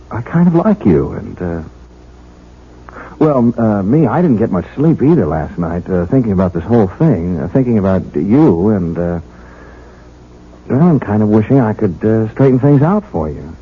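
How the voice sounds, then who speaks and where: Husky, bashful young patrolman, thin radio sound. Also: on the low side, sing-song, unhurried, full character voice. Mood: shy, tender. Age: young adult. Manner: hesitant, stammering, folksy. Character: earnest, bashful young patrolman sweet on a troubled woman. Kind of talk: 1970s radio drama acting. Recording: thin, phone-like old radio broadcast.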